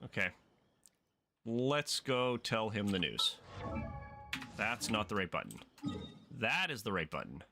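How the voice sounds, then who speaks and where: Man commenting casually over loud game music. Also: lowish voice, very animated, takes it slowly, gravelly, long pauses. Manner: joking, self-talking. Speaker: gaming streamer.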